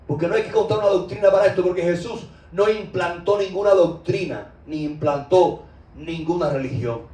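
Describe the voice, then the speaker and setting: Cuban male preacher, high voice, fervent and conversational, roomy far-off recording. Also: a little mellow. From Cuba. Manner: impassioned, with loose conversational asides. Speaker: spiritual teacher. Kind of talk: informal sermon. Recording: roomy echo, distant mic.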